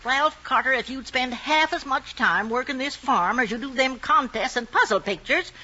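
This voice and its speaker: An actress playing an exasperated, practical farm wife with a Southern US accent, scolding and plainspoken, in an old radio drama. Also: female voice, high voice, normal speed, bright, forceful tone, slight background noise. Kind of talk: 1940s radio drama acting. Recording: dull old radio recording.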